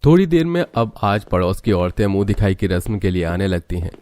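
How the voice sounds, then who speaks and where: A man's voice: sits low, big pitch swings, fairly quick, darkish tone. A man narrating a cartoon family story in a scripted storyteller style.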